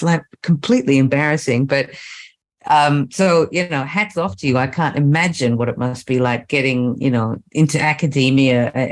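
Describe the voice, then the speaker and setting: A middle-aged woman hosting a podcast about sex and relationships, moving from a scripted intro into relaxed interview chat. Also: extremely low pitch. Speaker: podcast host, sexologist.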